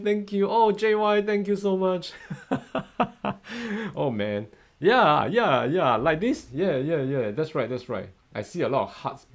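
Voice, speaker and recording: A man in his fifties, a native Mandarin speaker, running a live trading lesson in a chatty, upbeat way, often laughing and thanking viewers. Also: medium pitch. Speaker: forex trading educator. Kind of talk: live-stream chart analysis.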